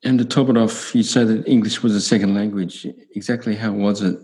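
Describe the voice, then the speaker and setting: A man interviewing a guest, asking steady, prompting questions. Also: on the low side, fairly quick.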